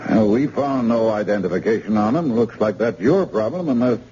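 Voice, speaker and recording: An actor playing a brisk, matter-of-fact surgeon in an old-time radio drama. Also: male voice, fairly low pitch, animated, mellow, husky. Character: calm male surgeon, brisk and assured. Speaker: doctor. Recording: hissy, muffled old radio recording.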